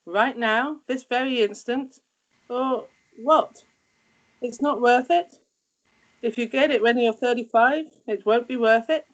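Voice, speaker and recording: A woman's voice: on the high side, a little slow, lo-fi sound, natural voice. A woman acting a wry, loving, no-nonsense middle-aged scientist mother in a screenplay table read, teasing and emphatic.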